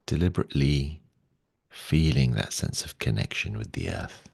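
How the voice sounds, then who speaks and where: A man leading a guided meditation in a gentle, soothing way, speaking slowly and softly with long pauses. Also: very deep voice, expressive, gravelly, husky, no room sound, clean studio sound.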